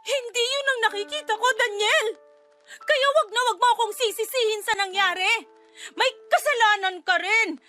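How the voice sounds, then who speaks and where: A woman's voice: extremely high pitch, moderate pace, pushed and bright, faint music behind. A woman with a Filipino accent playing a grieving, defensive wife and mother, tearful and indignant in a radio drama. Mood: hurt, defensive.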